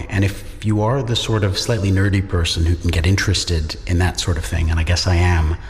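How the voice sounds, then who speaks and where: Deep-voiced man, reflective and measured. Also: a little mellow, big-room sound, slight background noise. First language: English. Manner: measured, reflective, with pauses and restarts. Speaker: university lecturer in Czech studies. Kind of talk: thoughtful interview answers.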